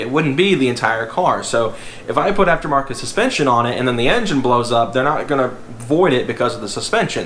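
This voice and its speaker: Slightly hoarse young man chatting casually. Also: medium pitch, a bit bright. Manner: rambling, filler-heavy, conversational. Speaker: car vlogger. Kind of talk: casual talk to camera. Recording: echoey room with faint background noise.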